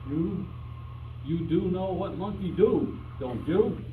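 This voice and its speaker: A man's voice: high voice, takes it slowly, narrow, tinny audio. A man playing a cautious, dry detective sidekick who speaks clipped, broken English in a radio comedy. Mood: dryly exasperated. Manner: clipped broken grammar, dropped articles. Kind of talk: old-time radio comedy acting. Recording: echoey, noisy room, distant mic.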